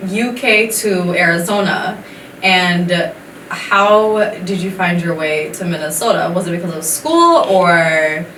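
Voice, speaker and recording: A woman's voice: lowish voice, expressive, slow pace, a bit bright. A woman hosting a casual podcast interview, asking questions eagerly. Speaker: podcast host. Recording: roomy, distant mic with background noise.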